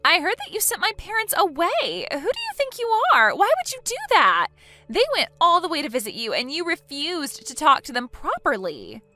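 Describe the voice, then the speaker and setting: A woman's voice: sits high, huge pitch swings, a little slow, hardly any pauses, pushed and bright, faint music behind, voice pushed up, full character voice. A woman voicing a selfish, entitled young woman who reacts with whiny, indignant outbursts.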